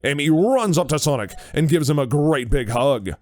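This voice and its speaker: A man's voice: a little high, animated, normal speed, a little mellow, no room sound, studio quality. A man giving scripted narration for an audio drama as its narrator.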